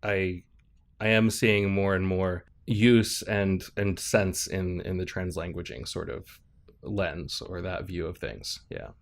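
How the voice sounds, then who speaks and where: A man, a professor on a podcast as a guest, explaining ideas in a measured, thoughtful way and checking in with "right?" as he goes. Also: low-pitched, creaky. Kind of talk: explanatory interview talk.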